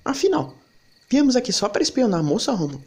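An actor playing a reluctant, nervous young boy, anxious and hurried, in an amateur radio soap opera. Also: mid-range voice, very animated, darkish tone, husky.

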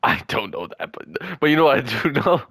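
A man's voice: mid-range voice, big pitch swings, a little fast, a bit bright, husky, no room sound. A young man in casual gaming chat with a friend, joking and trash-talking and often repeating his words. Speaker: gamer.

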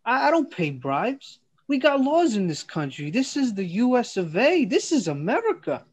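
A man's voice: extremely high pitch, big pitch swings, normal speed, breathy edge. A man doing a dramatic amateur script reading as an exasperated, hot-headed plumber, over a video call. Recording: dull video-call audio.

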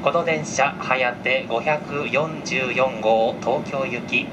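A man working as a train conductor makes formal, very polite and measured announcements over the cabin speakers. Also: mid-range voice, steady tone, dull audio. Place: inside a train car. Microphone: camera picking up cabin loudspeaker. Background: train ventilation and vehicle noise.

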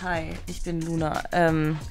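Woman playing a snarky, low-voiced schoolgirl. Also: little pitch movement, a little slow, soft background music. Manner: sarcastic, dismissive. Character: sullen, snarky new schoolgirl, cool and mean. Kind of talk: children's YouTube school skit acting.

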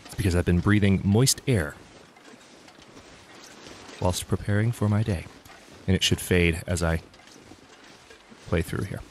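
Let's play host, male, low voice, slightly congested, rambling self-correcting commentary, faint room ambience. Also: a little hiss or hum. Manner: chatty, thinks aloud, frequent restarts. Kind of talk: casual gameplay commentary.

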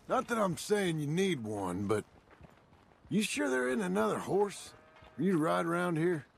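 An actor playing a patient middle-aged American cowboy ranch hand in a video game, with a gravelly voice and a reassuring drawl. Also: male voice, high voice, very animated, moderate pace, exaggerated voice. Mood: calm, reassuring. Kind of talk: naturalistic video game cutscene acting.

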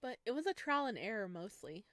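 A woman's voice: medium pitch, sing-song, a little fast, no echo, studio quality, natural voice. A woman voicing a shy, eager young male mushroom creature in a game, earnest and hesitant as he speaks. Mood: modest.

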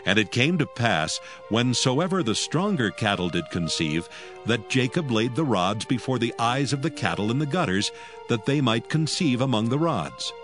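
Male scripture narrator: solemn, measured, mid-pitched, expressive intonation, light music bed.

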